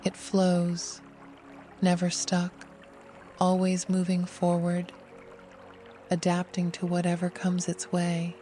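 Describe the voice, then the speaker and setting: A meditation guide giving scripted, gentle, soothing instruction in an unhurried way. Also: medium pitch, monotone, faint music behind.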